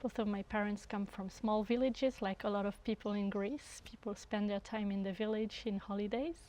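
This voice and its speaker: A woman in her thirties from France, a guest sound artist talking unhurriedly and often pausing to search for words. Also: medium pitch, steady tone, a little mellow. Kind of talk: casual conversation.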